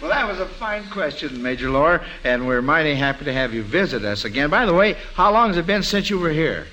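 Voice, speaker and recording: Casting: American male quiz host for 1940s radio, sharp forceful tone, slightly raised pitch. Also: big pitch swings, a little fast.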